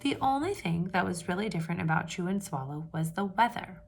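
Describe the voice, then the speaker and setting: A woman reading a picture book aloud to children with lively expression, pausing to ask her listeners questions in hushed asides. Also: on the low side, vocal fry, slight background noise. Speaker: read-aloud storyteller for children.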